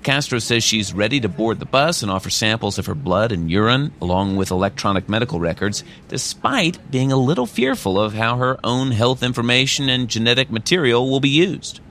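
A man delivering a scripted radio news report in a measured, even way. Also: medium pitch, slight background noise.

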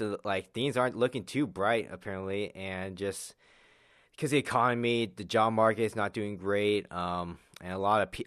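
A young man from Arizona, a college student, talking about his life in a personal interview, hesitant with many pauses and restarts. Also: lowish voice, dry recording, clean studio sound. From Arizona, USA. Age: young adult.

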